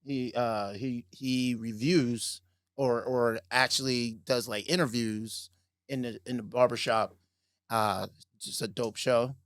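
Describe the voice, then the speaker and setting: Brief: middle-aged male co-host, raspy today, easygoing chat, mid pitch, dry close mic. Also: fairly even intonation, slow, fairly bright tone, no echo. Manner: laid-back, filler-heavy, laughs often. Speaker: podcast co-host. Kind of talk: casual chat.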